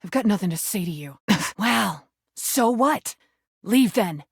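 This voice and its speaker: A living rubber duck from a video game, crude and flirtatious. The delivery is brash, mocking and full of sarcasm, at a middling pitch. The sound is close and dry, with no room on it. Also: female voice, lively intonation, a little slow, vocal fry, slightly rough, no room sound, full character voice. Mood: curt, hostile. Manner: sarcastic, brazen, taunting. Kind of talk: video game character voice lines.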